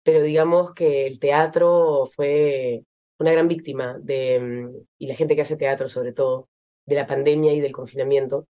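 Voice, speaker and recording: A young Peruvian woman with a Peruvian Spanish accent, an actress being interviewed, speaking thoughtfully with many pauses and fillers. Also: very deep voice, steady tone, highs cut off. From Peru. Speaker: actress guest. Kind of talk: interview conversation.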